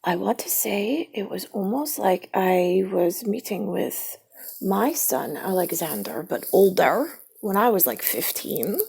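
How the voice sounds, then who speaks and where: Slow, breathy, gravelly-voiced woman rambling. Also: mid-range voice, expressive, darkish tone. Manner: spells words out letter by letter, drifts between topics; often drops to a half-whisper. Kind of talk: rambling stream-of-consciousness monologue.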